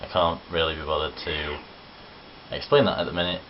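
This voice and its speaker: Very deep-voiced Nottinghamshire lad. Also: male voice, little pitch movement, a little fast. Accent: English Midlands (Nottinghamshire). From Nottinghamshire, England. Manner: chatty, self-deprecating, rambling asides. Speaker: vlogger. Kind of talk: casual accent-challenge vlog. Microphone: camera on tripod. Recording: dull lo-fi webcam audio with faint hiss.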